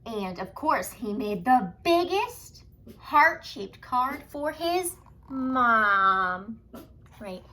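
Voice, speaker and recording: A woman reading a storybook aloud to young children in an expressive way, putting questions to her listeners and sometimes dropping into hushed asides. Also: a little high, drawn-out words. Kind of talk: animated children's read-aloud.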